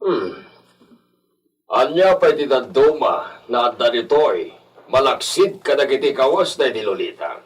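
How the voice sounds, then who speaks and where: A stern household master in an Ilocano radio drama, acted by a man. He speaks very slowly and deliberately with an Ilocano accent, his mid-pitched voice swinging expressively as he gives orders or broods. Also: big pitch swings, husky, rough recording. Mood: curious. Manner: deliberate, commanding.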